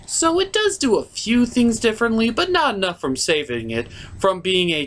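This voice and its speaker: Animated man reviewing a cartoon episode. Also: fairly high pitch. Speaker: cartoon review show host. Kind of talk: casual episode review commentary.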